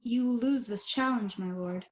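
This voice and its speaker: High voice, loyal worried best friend of a prince played by a young woman, shakespeare on radio. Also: moderate pace, darkish tone, close to an everyday voice. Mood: concerned. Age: young adult. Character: Horatio, Hamlet's loyal, anxious male friend. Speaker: student actor. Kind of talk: Shakespearean radio play acting.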